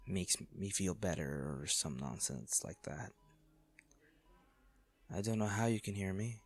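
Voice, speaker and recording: A man acting an anguished wealthy businessman in an intimate audio drama, speaking haltingly and emotionally to a comatose lover, with a gravelly voice. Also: sits low, lively intonation, full character voice. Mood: weary, tender. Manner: halting, emotional, with sighs and repeated words.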